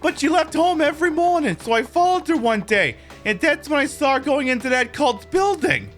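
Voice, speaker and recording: A man voicing a distraught mother in a video game, pleading and fretful in a halting way. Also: extremely high pitch, sing-song, a bit bright, soft background music, voice pushed up, exaggerated voice. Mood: anxious, pleading. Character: distraught, pleading mother of a college-age daughter.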